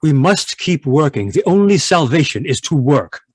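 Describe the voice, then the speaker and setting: Man acting a stern, husky, obsessive husband. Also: a little high, big pitch swings, moderate pace, no echo, clean studio sound. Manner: imperious, dismissive, impatient. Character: stern, obsessive, irritable husband tending experimental roses. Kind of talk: old-time radio drama acting. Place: live video call performance. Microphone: video call microphone.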